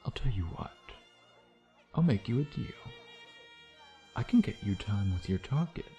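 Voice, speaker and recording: A man's voice: fairly low pitch, big pitch swings, unhurried, mellow, faint music behind. A man playing a suave, predatory vampire in an intimate ASMR roleplay, taunting in a sardonic, flirtatious way with murmured asides. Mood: sly, bargaining. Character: suave, teasing, predatory male vampire, playfully menacing.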